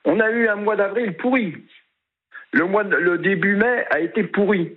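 A talkative man calling a radio show to share his view. His voice sits fairly high and comes through a thin phone line. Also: moderate pace. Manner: talkative, opinionated. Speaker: caller.

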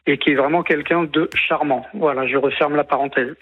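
A man's voice: on the high side, fairly even intonation, brisk, slightly rough. A man in his fifties from Martinique and French Guiana, calling in to a radio show and talking earnestly and tenderly. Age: 50s. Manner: earnest, tender, rambling with restarts. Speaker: radio caller. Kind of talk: phone-in chat. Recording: tinny phone line.

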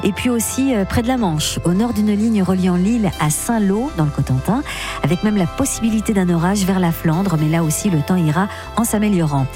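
A woman presenting the weather forecast on the radio, in a brisk, informative way, running through places and temperatures. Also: medium pitch, mellow, faint music behind. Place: radio studio.

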